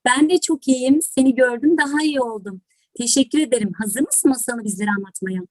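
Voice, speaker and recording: High-voiced woman host, dry close sound. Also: fairly quick, darkish tone, dry recording, poor audio. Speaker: host and teacher. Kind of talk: hosting an online storytelling event. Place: online video call.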